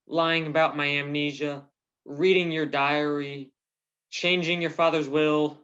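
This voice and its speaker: Soap parody husband played by a man: earnest, anguished, unhurried, on a muffled lo-fi radio play recording. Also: fairly high pitch, level tone, fairly bright tone. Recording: dull lo-fi recording.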